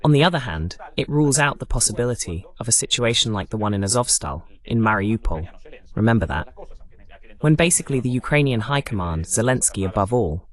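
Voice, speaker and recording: Man giving animated political commentary. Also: lowish voice. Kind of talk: political analysis monologue.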